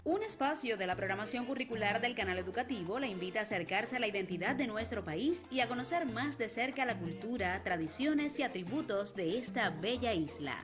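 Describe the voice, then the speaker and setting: Cuban woman announcer over loud music. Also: on the high side, lively intonation, brisk, few pauses. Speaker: TV promo announcer. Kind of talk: scripted promotional narration.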